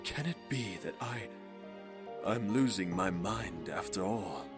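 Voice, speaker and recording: Man acting anguished young count, slow and halting. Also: sits low, expressive, over music. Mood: distraught, pleading. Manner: hesitant, pleading, halting. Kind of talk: dramatic visual novel voice acting.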